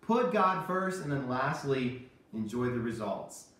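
A man's voice: mid-range voice, animated, measured pace, a bit bright. A man in his thirties giving children an emphatic, repetitive Bible lesson in a kitchen. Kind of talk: kids' sermon with a puppet sidekick. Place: home kitchen, indoors. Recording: very echoey room, distant microphone.

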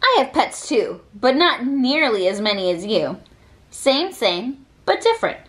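A woman reading a children's picture book aloud with expressive, deliberate phrasing. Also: on the high side. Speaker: read-aloud reader.

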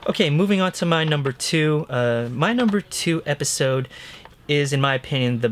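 A man's voice: on the high side, lively intonation, normal speed, slight background noise. A man in his thirties chatting enthusiastically and casually through a top-five list as a review show co-host. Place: indoor room lined with shelves of books and discs.

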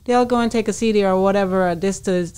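A woman's voice: mid-range voice, quick pace, a little mellow, smooth. A young adult woman from Jamaica, co-hosting a podcast with casual questions and discussion. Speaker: podcast co-host. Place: small indoor podcast studio. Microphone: close studio microphone.

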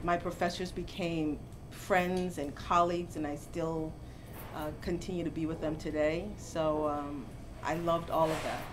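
A middle-aged woman born in Jamaica, a professor answering interview questions in a lively, storytelling way. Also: deep voice, measured pace, background noise. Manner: animated storytelling, gets louder and laughs when amused. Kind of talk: conversational interview. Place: bookstore, seated in armchair indoors.

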